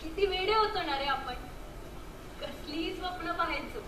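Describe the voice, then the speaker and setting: An Indian woman acting in a stage drama as a nostalgic, self-doubting married woman meeting her former lover, tender and expressive. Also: very high voice, normal speed. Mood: breezy. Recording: echoey stage, distant mic, loud crowd noise.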